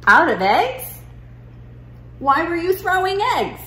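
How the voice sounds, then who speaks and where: A woman's voice: extremely high pitch, big pitch swings, slow, pushed and bright, voice pushed up, exaggerated voice. Amazed, questioning mother, acted by a woman reader. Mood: incredulous. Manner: incredulous, emphatic questioning. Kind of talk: children's picture book read-aloud. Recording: roomy living room with noise.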